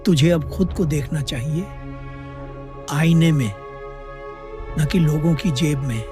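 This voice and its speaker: A man's voice: a little high, slow pace. A man playing a stern, righteous holy ascetic with a solemn delivery in a cartoon story. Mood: stern, reproving. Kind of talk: dramatic cartoon story acting. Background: loud background music.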